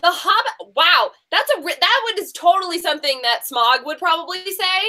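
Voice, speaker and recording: A woman hosting a casual book quiz, chatting in an animated, teasing way. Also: extremely high pitch, moderate pace. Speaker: quiz show host.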